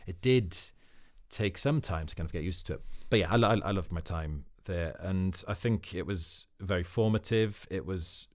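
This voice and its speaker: A man's voice: low voice, moderate pace, a little mellow. A man from Wales with a Welsh accent, a Welsh speaker, talking thoughtfully as an actor guest in an interview. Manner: thoughtful, reflective, with frequent fillers. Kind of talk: casual interview.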